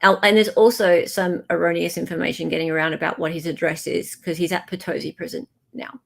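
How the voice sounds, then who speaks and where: A woman's voice: fairly low pitch, fairly even intonation, fairly quick, gravelly. An Australian woman hosting a livestream in a chatty, informal way, effusive when she gives thanks. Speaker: livestream host. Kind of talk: casual livestream chat.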